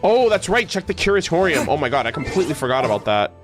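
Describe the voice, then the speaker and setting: A man with a fairly high-pitched voice talks casually to his livestream viewers. He is informal and playfully teasing, with plenty of gamer slang. Music plays behind him. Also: big pitch swings, fast. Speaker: video game streamer.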